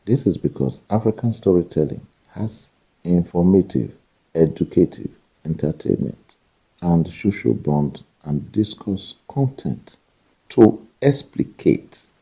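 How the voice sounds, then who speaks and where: A man reading a formal prepared statement as an academic contributor, in measured, bookish language. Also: low voice, expressive, creaky, dark tone, husky, rough recording.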